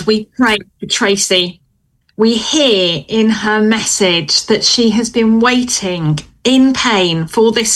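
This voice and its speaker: A woman leading a spoken prayer in a steady, earnest, measured way. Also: medium pitch, low-quality recording. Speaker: prayer leader.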